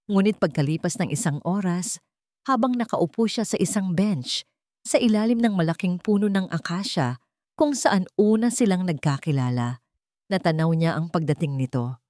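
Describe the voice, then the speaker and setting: Low-pitched woman narrating a drama. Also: expressive, normal speed, a little mellow, no echo, studio quality. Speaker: storyteller, narrator.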